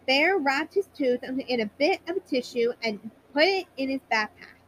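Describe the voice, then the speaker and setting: High-pitched woman reading a bedtime story. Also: animated, moderate pace, a bit bright, poor audio. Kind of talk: bedtime story narration for children.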